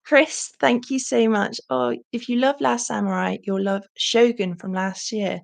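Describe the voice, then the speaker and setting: A young woman with a very gravelly, croaky voice hosts a relaxed livestream Q&A. She chats freely, often pausing on an um, and her pitch sits in the middle for a woman. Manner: chatty, warm thanks, frequent ums. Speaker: channel host. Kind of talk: casual livestream Q&A chat.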